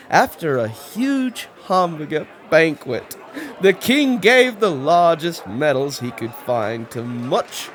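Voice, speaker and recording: Storybook reader doing a kids read-aloud, super animated, takes it slow, big dramatic emphasis. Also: mid-range voice, slight background noise.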